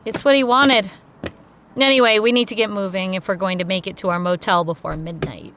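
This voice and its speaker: An actress voicing Kara, a young woman on a road trip, talking in a brisk, teasing, upbeat way. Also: medium pitch, fairly even intonation, noisy background, close to an everyday voice. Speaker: lead character. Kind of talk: audio drama acting.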